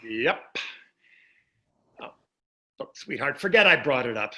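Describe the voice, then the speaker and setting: An actor in a staged play reading, playing a wealthy older husband who is dry, teasing and condescending. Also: male voice, medium pitch, expressive, takes it slowly, pushed and bright, heavily acted. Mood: dismissive. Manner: dry, needling, condescending endearments.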